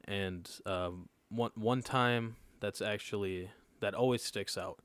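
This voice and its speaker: A young man of nineteen, his voice sitting very low, recounts a story in easygoing podcast chat. He keeps it loose and conversational, often tossing a quick check-in to his co-hosts. The sound is dry and close, with no room around it. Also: fairly even intonation, fairly bright tone, clear, resonant tone, dry recording. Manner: laid-back storytelling that often checks in with the listeners. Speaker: podcast co-host. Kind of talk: casual chat, telling a personal story.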